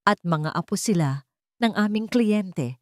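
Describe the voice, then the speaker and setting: A woman's voice: medium pitch, a little slow, no echo, clean studio sound, natural voice. Cold Filipino woman lawyer, voiced by a narrator. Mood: cold, firm. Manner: calm, emphatic. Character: cold, authoritative female lawyer.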